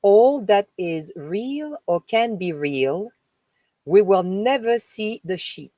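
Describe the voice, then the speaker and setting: A woman from France with a French accent, a spiritual host reading a text aloud and stopping for chatty asides, with slow, emphatic delivery. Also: medium pitch, animated, dark tone, no room sound. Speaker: spiritual live-stream host. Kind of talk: reading aloud with spoken commentary.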